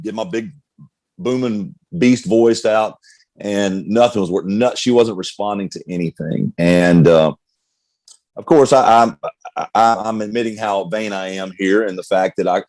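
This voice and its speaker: Deep-voiced earnest pastor telling his story. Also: a little mellow, no room sound. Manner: earnest, candid storyteller with many restarts. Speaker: pastor, guest. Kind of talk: personal testimony in conversation.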